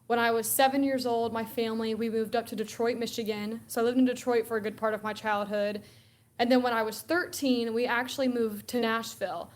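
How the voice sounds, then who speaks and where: A woman's voice: fairly high pitch, fairly even intonation, brisk. A young woman from Alabama, guest on a sports talk show, chatting casually and laughing often. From Alabama, USA. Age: young adult. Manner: chatty, laughs often, acts out quoted exchanges. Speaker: guest co-host.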